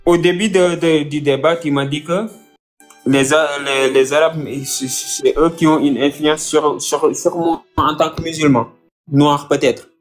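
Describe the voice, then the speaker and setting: Halting man from Senegal, slightly high voice. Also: steady tone, faint music behind. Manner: halting, repeats words, pleads to be heard. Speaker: debate caller. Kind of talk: heated live debate.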